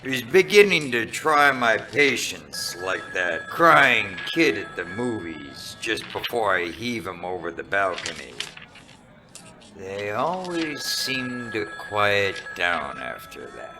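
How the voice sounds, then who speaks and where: A hard-boiled, hard-drinking male private eye, played by an actor in a noir radio play. He narrates with deadpan weariness and lively swings in pitch, heard from a distance in a very echoey space with music behind. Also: slow pace, full character voice. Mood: deadpan, world-weary. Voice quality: deep. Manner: deadpan, world-weary.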